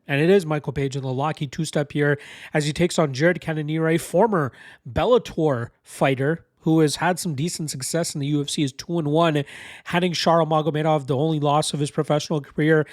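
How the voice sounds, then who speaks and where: A man's voice: fairly high pitch, fairly even intonation, no room sound, clean studio sound. A man giving a casual, confident breakdown of fight betting picks, talking through a stuffy nose from a cold. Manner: brisk, confident, bettor's slang. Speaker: MMA betting analyst.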